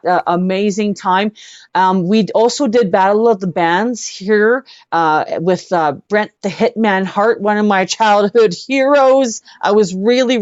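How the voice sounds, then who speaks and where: A Canadian woman talking casually as a guest in an earnest, halting way, often repeating words. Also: medium pitch, a little mellow, clear, resonant tone, no room sound, highs cut off. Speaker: guest, singer-songwriter. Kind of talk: casual live conversation.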